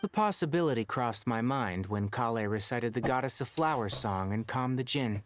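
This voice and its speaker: A man voicing a cool, analytical young man in a video game, measured and probing. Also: mid-range voice, animated, noisy background, close to an everyday voice. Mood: curious.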